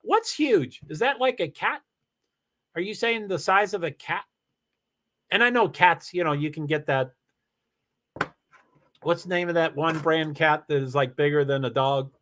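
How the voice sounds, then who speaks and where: Chatty, animated man with a higher voice. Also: measured pace, long pauses. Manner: animated, chatty, rambling with restarts and exclamations. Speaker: live streamer reading chat. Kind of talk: casual live-stream chat. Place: home in Washington State.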